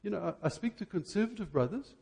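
A man preaching an impassioned church sermon, emphatic, with rhetorical questions and deliberate stresses on key words. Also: sits high, lively intonation, gravelly, a little mellow.

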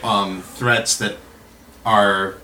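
A man's voice: on the low side, steady tone, drawn-out words, pushed and bright. A man laying out examples in a casual group discussion in a roomy recording. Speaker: voice actor on a round-table. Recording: roomy, faint ambient noise.

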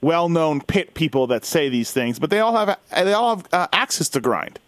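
A man's voice: a little high, very animated, moderate pace, no room sound. A man hosting a casual motorsport podcast, bantering and joking, often repeating his phrases.

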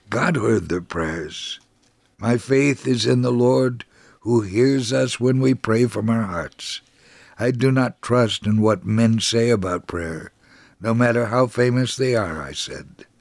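A man reading a scripted first-person faith story for radio in a measured, solemn, dramatic storytelling manner. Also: fairly low pitch, gravelly, no echo.

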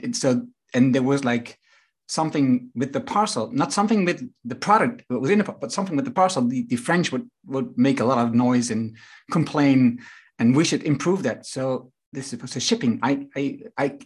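A man's voice: mid-range voice, husky. A Dutch man hosting a business podcast, chatting loosely in English with many restarts. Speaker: podcast host and business coach. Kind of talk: casual interview chat.

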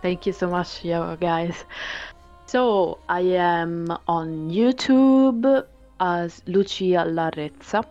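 A woman's voice: fairly low pitch, slow, a little mellow, soft background music. A woman with an Italian accent, a guest chatting casually on a podcast, hesitating often with many fillers and laughs. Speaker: guest musician. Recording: dull lo-fi remote audio.

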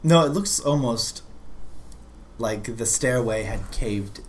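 A man running a tabletop roleplaying game, improvising scene narration in a halting, conversational way and often rephrasing mid-sentence. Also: mid-range voice, expressive. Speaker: game master.

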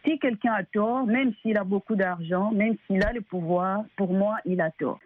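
A woman's voice: mid-range voice, normal speed. A woman from DR Congo with a Congolese French accent, answering as an interview guest in an earnest, emphatic way, explaining with examples. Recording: tinny, phone-like band-limited line.

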